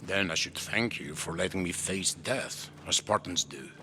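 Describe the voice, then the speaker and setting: A man playing a weary, proud ancient Greek politician in a game cutscene, speaking in a grave, measured way. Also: low-pitched, slight background noise. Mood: defiant. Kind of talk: video game cutscene acting. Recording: loud music behind the voice.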